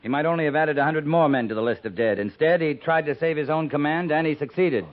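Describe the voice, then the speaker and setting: A man's voice: on the high side, fast talker, natural voice. Guy playing no-nonsense army captain, firm n terse, old radio drama, tinny phone-like sound. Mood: firm, arguing. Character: steady, loyal, plain-spoken male cavalry captain. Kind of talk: 1950s radio drama acting. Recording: thin, boxy 1950s radio recording.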